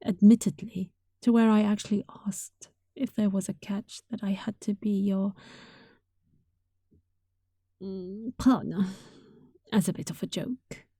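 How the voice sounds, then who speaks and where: A woman's voice: medium pitch, fairly even intonation, measured pace, long pauses, no room sound. A woman playing a sweet, affectionate young catgirl roommate in an intimate roleplay, speaking tenderly and breathily, often close to a whisper. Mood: earnest, wistful. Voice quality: soft, breathy. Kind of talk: intimate roleplay audio, softly acted monologue.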